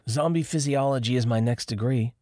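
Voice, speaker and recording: Guy doing a smarty-pants young woman, audiobook, dry and a bit pompous, lighter higher voice. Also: brisk, mellow, dry recording, lightly acted. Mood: matter-of-fact. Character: sardonic, know-it-all young woman writer.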